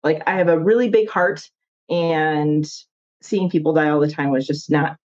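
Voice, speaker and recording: A woman's voice: low-pitched, normal speed, darkish tone, muffled recording. A woman in her thirties with a Minnesota accent, a chatty guest telling her story in a casual interview. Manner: chatty, laughs often, many "like" fillers. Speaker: guest, construction company owner.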